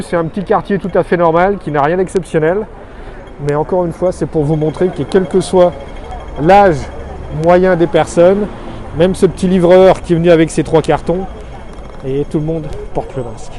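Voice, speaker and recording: A middle-aged man from Strasbourg in France giving an earnest, explanatory video update in short, deliberate phrases, recorded outdoors on a street. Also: a little high, warm tone. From Strasbourg, Alsace, France. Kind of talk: informal spoken video report, explanatory. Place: outdoors on a busy city street corner. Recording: outdoor street noise, passing traffic. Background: faint urban traffic ambience.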